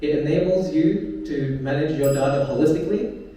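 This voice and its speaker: Earnest man pitching in an echoey hall. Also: normal speed, dark tone, dull audio. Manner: earnest, rehearsed, a few fillers. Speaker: startup founder pitching. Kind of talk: startup pitch and Q&A answer. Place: event venue. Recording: echoey room, from far off, faint noise.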